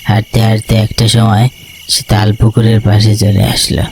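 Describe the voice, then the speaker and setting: Guy narrating a spooky cartoon story, lowish voice, faint crickets behind. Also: monotone, moderate pace, gravelly, darkish tone, a little hiss or hum.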